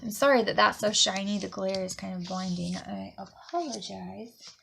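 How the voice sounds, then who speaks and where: A woman from Alabama, chatting casually while decorating a planner, sniffly, rambling and dropping to soft near-whispers as she works. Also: medium pitch, moderate pace, creaky, breathy edge. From Alabama, USA. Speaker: planner vlogger. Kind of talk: casual crafting talk to camera.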